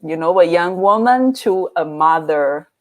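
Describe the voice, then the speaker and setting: A woman hosting an interview over a video call, asking questions in a halting way with many fillers and restarts. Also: fairly low pitch, lively intonation. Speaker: host.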